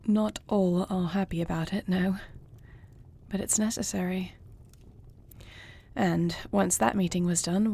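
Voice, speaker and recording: A woman playing a tender, regal dragon queen in a romantic audio roleplay, with soft, formal and affectionate delivery. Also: medium pitch. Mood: affectionate, tired. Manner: soft, formal phrasing, affectionate, often breathy. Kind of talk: romantic audio roleplay, intimate scripted acting.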